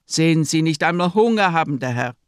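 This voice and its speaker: Brisk, patronising nurse, very dry sound. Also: female voice, sits low, animated, clear, resonant tone, no room sound. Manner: brisk, condescending. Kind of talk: German radio crime drama acting.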